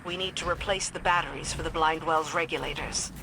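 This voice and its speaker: A woman's voice: sits low, steady tone, brisk, noisy background. Stern woman field commander. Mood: businesslike. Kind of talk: video game mission dialogue. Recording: loud game music behind.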